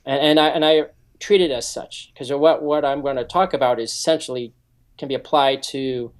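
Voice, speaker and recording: A man's voice: medium pitch, fairly even intonation, normal speed. A man in his forties, a security consultant, earnestly explaining his background in a radio interview with frequent ums. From United States. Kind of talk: interview answers.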